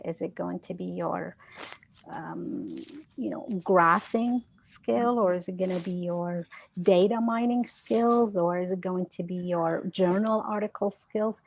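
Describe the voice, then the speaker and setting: A woman's voice: mid-range voice, vocal fry, a little mellow, no echo, rough recording. A woman speaking as a guest science educator in an online seminar, earnest and conversational, with frequent restarts.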